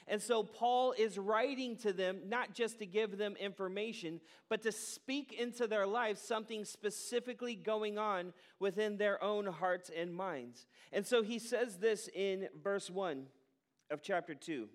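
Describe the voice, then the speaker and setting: A man's voice: high voice, unhurried. A pastor preaching a sermon to his congregation in an earnest, emphatic manner, with falling cadences. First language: English.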